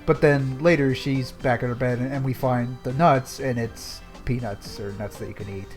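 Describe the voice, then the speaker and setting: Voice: mid-range voice, fairly even intonation, moderate pace, music in the background. A podcast co-host chatting casually about a story and sometimes joking. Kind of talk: casual chat recapping a visual novel.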